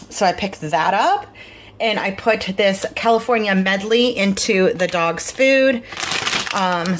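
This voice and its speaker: A middle-aged woman vlogger, tired, chatting casually through a grocery haul with lots of fillers. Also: mid-range voice. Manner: rambling, filler-heavy, apologetic.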